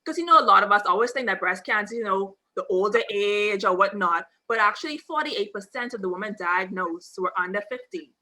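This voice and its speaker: A young woman hosts a video-call chat from an armchair at home, her voice crisp and bright. She sounds upbeat and conversational, picked up by a webcam mic. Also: medium pitch, lively intonation, brisk, hardly any pauses. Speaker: host. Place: indoors at home, seated in armchair.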